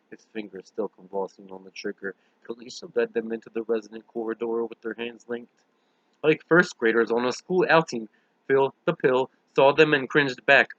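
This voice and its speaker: Bright, forceful male audiobook narrator. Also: medium pitch, no echo, muffled recording. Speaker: audiobook reader. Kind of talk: scripted dramatic narration.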